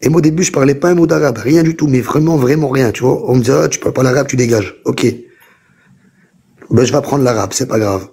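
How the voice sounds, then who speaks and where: A man's voice: medium pitch, fast talker, warm tone, slightly rough. A man hosting a heated live debate, insistent, repeating himself to keep the floor. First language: French. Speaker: host. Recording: TikTok live call audio.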